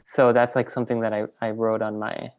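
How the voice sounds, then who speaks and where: A woman filmmaker and teacher, in a relaxed interview, who talks in loose, rambling sentences full of fillers and restarts. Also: very deep voice, a little fast. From French Venezuelan, raised in New York. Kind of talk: casual interview conversation.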